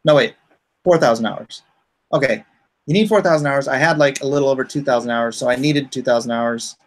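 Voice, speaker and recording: A man chatting casually as a livestream host, haltingly and often muttering to himself. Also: mid-range voice. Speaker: comic artist and livestream host.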